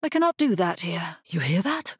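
An actress voicing a solemn, grave necromancer in a video game, with measured, formal delivery. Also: female voice, on the low side, sing-song, slightly rough, no room sound, clean studio sound, heavily acted. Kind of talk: scripted video game character voice lines.

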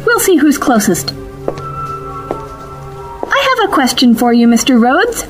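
A high, animated young female voice played by an actress for a sci-fi audio drama companion. She is dry, sarcastic and easily exasperated, with faint music behind her. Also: unhurried. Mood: brisk, wry. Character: sharp, sardonic young female time-travelling companion to the Doctor.

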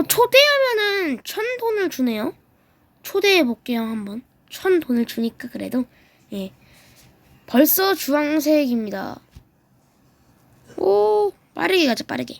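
A woman playing a video game and commenting casually, reacting aloud with quick exclamations while getting over a throat cold. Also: high-pitched, dry recording. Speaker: gaming YouTuber.

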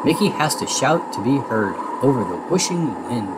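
A man reading a children's storybook aloud in expressive narration. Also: mid-range voice, moderate pace, heavy background noise. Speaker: storybook reader.

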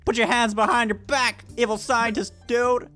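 A young man giving playful, improvised gameplay roleplay commentary in an excitable, mock-dramatic way. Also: very high voice, normal speed, faint music behind. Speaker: gaming video player.

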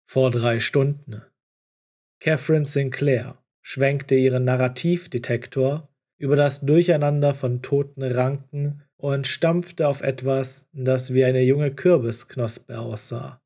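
A man's voice: mid-range voice, fairly even intonation, takes it slowly, dark tone. A man reading scripted story narration as an audiobook narrator.

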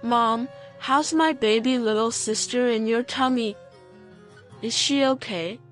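A woman's voice: a little high, slow, a bit bright, faint music behind. A woman voicing an eager, helpful young boy in a children's story, with an earnest, childlike manner.

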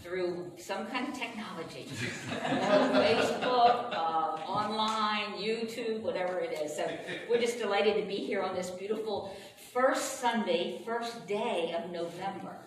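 A woman pastor speaking informally to her congregation in a chatty, folksy, unhurried way, as she welcomes them and leads prayer. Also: medium pitch. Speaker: pastor leading a church service. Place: large echoing room with a congregation. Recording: roomy, distant, noisy church service recording.

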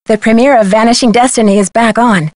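A woman's voice: fairly high pitch, steady tone, fairly quick, a little mellow, dry recording, studio quality, natural voice. A woman playing a level-headed teenage girl detective in a video game, speaking scripted lines in a composed, conversational way. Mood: reflective. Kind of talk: scripted game dialogue and letter narration.

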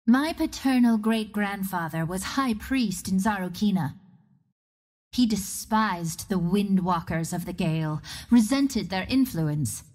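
Casting: actress for young female archer hero in a fantasy game, mid-pitched, wistful and reflective, measured dramatic monologue. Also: darkish tone, lightly acted. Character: young female wind archer, wistful orphan seeking truth. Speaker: video game hero character. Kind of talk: dramatic first-person monologue.

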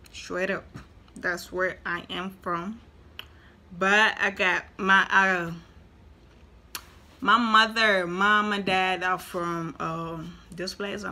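Young woman, bright tone, lively casual vlogger chat into a webcam. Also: fairly low pitch, a little hiss or hum. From Tanzania. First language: Swahili. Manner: chatty, animated, repeats herself for emphasis. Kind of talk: casual talk to camera. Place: small indoor room.

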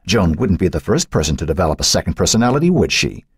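Man doing a icy taunting woman's alter self, husky, raised voice, lively swings. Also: low-pitched, fast, no room sound, full character voice. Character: cold, mocking woman, a hidden second self.

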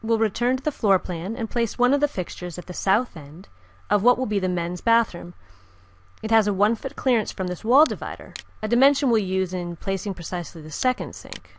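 Calm woman narrating a software tutorial. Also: mid-range voice, fairly quick. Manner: calm, even, step-by-step instruction. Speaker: software instructor. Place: voice-over with screen recording.

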